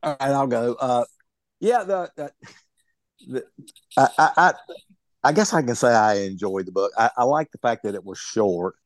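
A man's voice: medium pitch, moderate pace, pauses often, no echo. A man casually giving his take in a book discussion over a video call, wry and often repeating words as he stammers along. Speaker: book club member.

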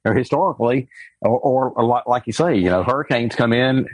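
A man with a husky voice talks in a halting way, stumbling over repeated words and restarting sentences as he joins a book club chat. His sound is dry and close, with no room echo. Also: medium pitch. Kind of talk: casual group discussion.

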